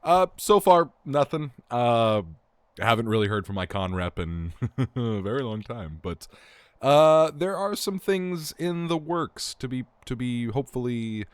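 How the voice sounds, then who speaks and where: A man's voice: mid-range voice, very animated, unhurried, smooth, dry recording. A man who works as a voice actor, chatting casually and effusively as he answers questions.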